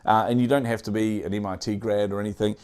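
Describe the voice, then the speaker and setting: A man's voice: on the low side, fairly even intonation, fast, darkish tone, clear, resonant tone. A man with a New Zealand accent hosting a casual tech news show, chatty, with frequent fillers and dad jokes.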